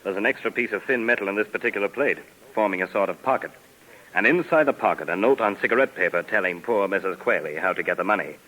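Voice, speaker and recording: An actor playing a greedy, mock-polite killer in a 1950s radio drama, smoothly menacing and easily worked up, on an old radio recording. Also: male voice, lowish voice, fast, poor audio. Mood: smug, courteous. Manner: mock-polite, smoothly menacing, turning frantic when excited. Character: greedy, gloating male killer hunting hidden loot.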